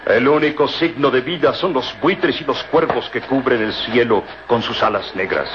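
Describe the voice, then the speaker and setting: An actor playing a grave, commanding middle-aged professor with measured authority in an old radio drama. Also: male voice, mid-range voice, lightly acted. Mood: grave, appalled. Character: grave, commanding professor and father, expedition leader. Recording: muffled, noisy old radio drama recording.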